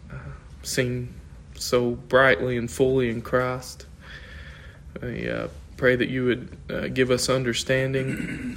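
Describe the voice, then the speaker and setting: A man's voice: medium pitch, creaky, a bit bright, a little hiss or hum. A man, losing his voice, teaching an informal Bible class in a conversational, thinking-aloud way and asking the class questions.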